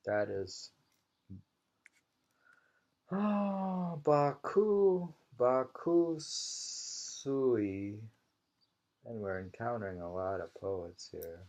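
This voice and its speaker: A man reading short poems in an unhurried, halting way, repeating lines slowly and musing on them informally in a dull, lo-fi home recording. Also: mid-range voice, lively intonation, darkish tone. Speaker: reader of a poetry anthology.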